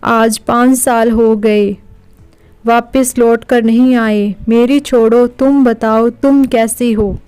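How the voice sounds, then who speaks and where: A woman plays a poor young mother from a cartoon, gentle and plaintive. The voice is smooth and fairly high, and the pleading comes out slowly. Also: steady tone, faint music behind. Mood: pleading, sad.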